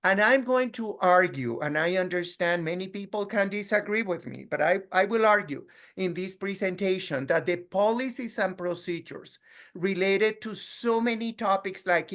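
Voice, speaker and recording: A middle-aged man with a Colombian Spanish accent, speaking in a measured, earnest way as a guest presenter on a webinar. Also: high voice, a bit bright. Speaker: guest speaker, clinical social worker.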